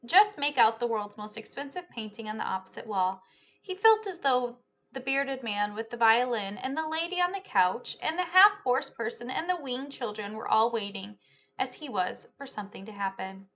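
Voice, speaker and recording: A woman in her thirties reading a children's story aloud in an expressive, animated way, indoors on a webcam. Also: a little high. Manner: animated, hushing and swelling with the story. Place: small living room, indoors. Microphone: webcam at desk distance.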